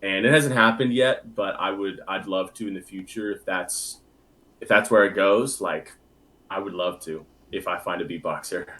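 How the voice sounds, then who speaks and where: A young man and bass singer, a guest chatting casually in an interview, easygoing and full of filler words. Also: normal speed, a bit bright. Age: young adult.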